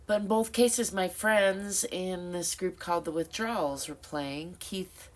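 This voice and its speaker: A middle-aged woman with a stuffed-up nose, talking reflectively to camera in a rambling, thoughtful way, with many fillers and self-corrections. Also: low-pitched. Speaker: vlogger. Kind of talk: reflective casual monologue.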